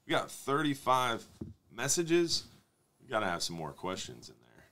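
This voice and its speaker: A man in his thirties hosting a live fishing Q&A stream from a studio desk, reading viewer questions and answering them in a relaxed, conversational way. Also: mid-range voice, moderate pace. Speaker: fishing show host. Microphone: desk microphone on stand.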